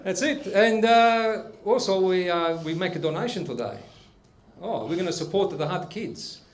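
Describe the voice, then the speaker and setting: Man putting on an animated high-pitched Italian comic host. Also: moderate pace. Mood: jovial. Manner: broken English, animated, playful. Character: Giuseppe, excitable Italian immigrant man, comic stage host. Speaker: comedian and show host. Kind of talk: stand-up storytelling and hosting. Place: live club stage with audience. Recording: roomy live stage, faint audience.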